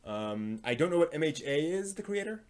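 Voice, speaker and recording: A man hosting a live stream, talking in a casual, analytical way and often halting as he thinks aloud. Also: fairly high pitch, very animated. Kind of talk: casual rambling live commentary on anime and comics.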